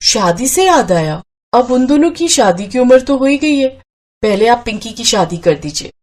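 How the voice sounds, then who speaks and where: A woman voicing a sensible, caring young sister-in-law in a cartoon story, speaking earnestly and with gentle reproach. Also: a little high, expressive, moderate pace. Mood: suggesting.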